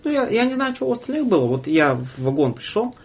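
A man's voice: sits high, big pitch swings, fast talker, slightly rough. A man in his twenties hosting a casual podcast chat, playfully telling anecdotes and quoting the people in them. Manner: playful, quotes others in his stories. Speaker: podcast host, student train conductor. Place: podcast studio.

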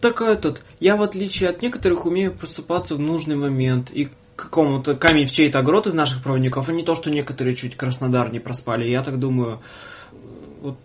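A man's voice: fairly high pitch, quick pace, slight background noise. A young man hosting a podcast, chatting casually with slang and swearing. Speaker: podcast host.

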